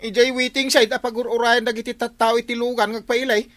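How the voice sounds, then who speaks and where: An alarmed village watchman in an Ilocano-accented radio comedy. He talks fast and urgently, in a bright, excitable voice. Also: extremely high pitch. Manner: hurried, excitable. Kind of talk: radio comedy drama acting.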